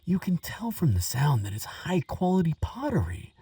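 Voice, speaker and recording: A man playing an earnest, excitable archaeologist who talks gently to a friend, stammering and musing with wonder, in a relaxing audio roleplay. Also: fairly high pitch, moderate pace, breathy edge, no echo, clean studio sound, far from the mic, exaggerated voice. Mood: eager, fascinated. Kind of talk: relaxing scripted audio roleplay, spoken to the listener.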